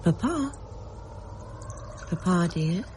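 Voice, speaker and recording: An actress playing a protective, brisk mother in a radio drama, concerned and gently scolding. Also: on the low side, big pitch swings, a little hiss or hum, full character voice. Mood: concerned, fussing.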